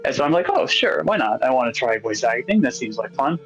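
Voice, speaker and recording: A man's voice: mid-range voice, very animated, brisk, a bit bright, lo-fi sound, faint music behind. A man being interviewed as a new voice actor and artist, chatting in an easygoing, slightly hesitant way. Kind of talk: casual chat, recounting how they got involved.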